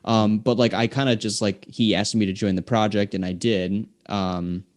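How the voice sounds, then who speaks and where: A man's voice: on the low side, fairly even intonation, moderate pace. A young man, a singer-songwriter guest, chatting casually and telling long, rambling stories packed with filler words. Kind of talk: casual chat.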